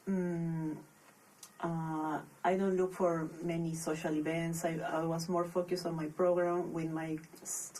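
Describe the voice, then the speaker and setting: Peruvian woman, soft-spoken, far from mic. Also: sits low, little pitch movement. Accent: Peruvian Spanish. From Peru. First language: Spanish. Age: young adult. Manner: thoughtful, pauses often and restarts phrases. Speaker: podcast guest, master's student. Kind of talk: casual interview.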